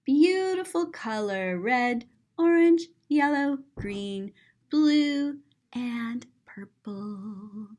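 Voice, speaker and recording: A woman's voice: fairly high pitch, lively intonation, extremely slow, a little mellow. A woman reading a children's picture book aloud as a story-time host, expressively and with simple explanations for young listeners.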